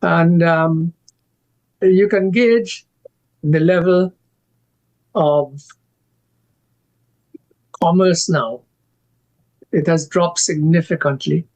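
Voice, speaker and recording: An older man with a Trinidadian accent, a guest analyst who speaks haltingly with many restarts and strong emphasis. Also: fairly high pitch, a little mellow, dull audio. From Trinidad and Tobago. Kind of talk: current affairs discussion.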